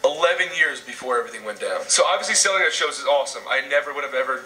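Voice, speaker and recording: Young male vlogger, quick and bright-voiced, echoey room off mic. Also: medium pitch, distant mic. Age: young adult. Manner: excitable, nervous rambling. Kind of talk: casual chat.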